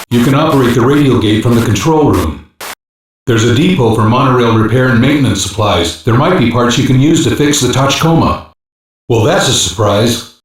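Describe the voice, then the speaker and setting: A strongly echoing, far-off male voice acted by a man plays a gruff intelligence hacker in a sci-fi video game. He reports technical findings in a clipped, matter-of-fact way, like a focused team member briefing a commander. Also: medium pitch, a little hiss or hum, lightly acted. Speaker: voice actor in an English dub. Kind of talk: scripted video game voice lines, mission briefing. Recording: very echoey, distant-sounding game audio capture.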